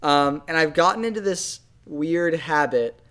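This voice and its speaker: A man's voice: a little high, lively intonation, measured pace, bright, forceful tone, clear, resonant tone. A young adult man in a casual podcast discussion, picked up on a shared microphone indoors. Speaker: podcast host. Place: indoor room, seated group. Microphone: shared desktop microphone.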